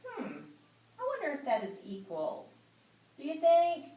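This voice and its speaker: A woman's voice: sits high, wildly swooping pitch, measured pace, warm tone. A woman reading a children's story aloud expressively and dramatically, repeating phrases and adding asides. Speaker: school principal reading aloud. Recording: echoey room, somewhat distant mic.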